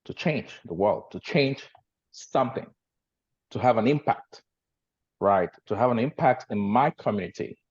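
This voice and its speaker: A man from Cameroon in his forties, a guest agency leader telling his story on a team video call, halting with fillers and restarts and then growing emphatic. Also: medium pitch, expressive, husky, lo-fi sound.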